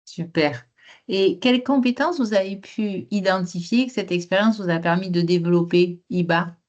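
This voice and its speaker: A woman's voice: fairly low pitch, moderate pace, darkish tone. Polite moderator woman, muffled call. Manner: brief, polite questioning. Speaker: webinar moderator. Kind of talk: moderating a webinar. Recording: muffled video call audio.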